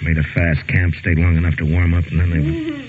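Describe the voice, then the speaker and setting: An actor playing a steady, grave frontier lawman in a 1950s radio western. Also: sits low, brisk. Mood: grave, businesslike. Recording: muffled, tinny 1950s radio recording with hiss.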